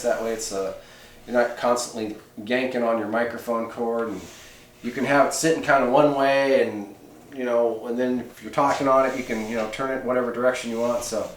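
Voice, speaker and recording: A man giving a casual hands-on how-to demonstration, talking in a folksy, rambling, conversational way. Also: mid-range voice. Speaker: host of a radio hobby how-to channel. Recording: roomy echo, clear background noise, clicks.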